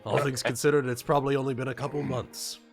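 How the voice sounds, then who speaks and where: A man's voice: medium pitch, flat delivery, quick pace, over music, close to an everyday voice. A man playing a weary, earnest blacksmith in a tabletop fantasy game, speaking hesitantly. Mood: wistful. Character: weary, earnest male blacksmith with arcane knowledge.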